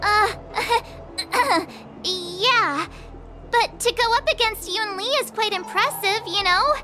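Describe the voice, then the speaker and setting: Very high-pitched bubbly girl. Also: takes it slowly, loud music behind the voice. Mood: eager, admiring. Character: cheerful, excitable teenage girl adventurer. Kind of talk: anime-style game voice acting.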